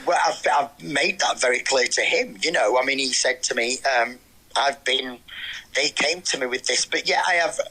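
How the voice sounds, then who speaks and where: Bright, forceful man being interviewed. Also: medium pitch, normal speed, husky, low-quality recording. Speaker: interview guest, actor. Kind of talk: casual conversational interview.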